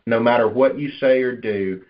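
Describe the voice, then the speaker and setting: A man's voice: mid-range voice, moderate pace. Guy doing sales coaching on a conference call, talks persuasive and explains stuff, bit muffled. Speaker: insurance sales manager and trainer. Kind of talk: conversational sales coaching with role-play pitches. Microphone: conference call line. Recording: dull, lo-fi conference call audio.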